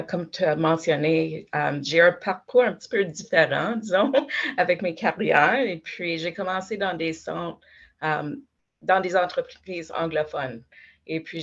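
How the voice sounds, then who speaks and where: A woman's voice: low voice, vocal fry, a bit bright, highs cut off. A woman working at a health centre, answering a panel question reflectively.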